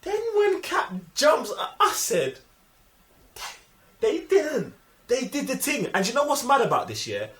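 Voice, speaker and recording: A high-voiced man with a London accent talks in casual, slangy banter. His delivery swings up and down as he argues emphatically and repeats himself. The room gives his voice a clear echo. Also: huge pitch swings, measured pace, a bit bright. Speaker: film review podcast co-host. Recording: roomy, echoing sound.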